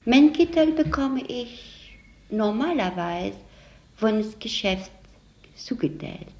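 A woman's voice: mid-range voice, creaky, darkish tone. A saleswoman giving plain spoken testimony about working life in an interview. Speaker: department store saleswoman. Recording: roomy, dull lo-fi interview tape.

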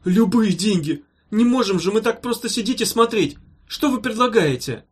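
A man's voice: a little high, lively intonation, fast talker. A man voicing a frightened, gullible male hospital patient in an audiobook, anxious, whining and pleading. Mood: frantic begging. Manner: anxious, whining, breathlessly insistent.

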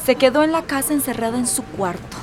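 A woman's voice: high voice, expressive, quick pace, noisy background. An actress playing a grieving, polite mother in a radio drama, with a Costa Rican accent. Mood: sorrowful, polite. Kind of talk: Spanish-language radio drama acting.

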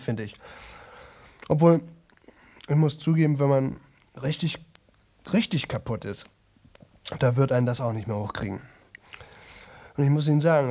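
A man's voice: mid-range voice, a little mellow, natural voice. An actor playing a boastful young man who talks nonstop to an audience in a radio play, chatty, rambling and repetitive. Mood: weary, confiding. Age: young adult.

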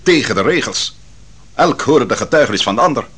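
An actor playing a sceptical official in a biblical radio drama, speaking in terse questions and judgements. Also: male voice, medium pitch, expressive, brisk, fairly bright tone, husky. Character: sceptical male official questioning irregular trial procedure.

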